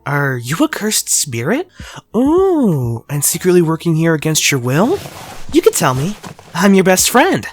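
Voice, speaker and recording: A woman's voice: low voice, huge pitch swings, a little slow, faint music behind, pitched below the performer's own voice, exaggerated voice. A woman voicing a cheerful, teasing teenage boy sorcerer in a fan audio drama. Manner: playful, teasing.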